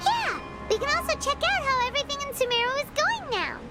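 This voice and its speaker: A woman voicing a tiny, blunt, chirpy fairy companion in a video game, with a childlike squeak. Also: very animated, fairly quick. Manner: childlike, squeaky, speaks of herself in third person. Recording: loud game music behind. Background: game music.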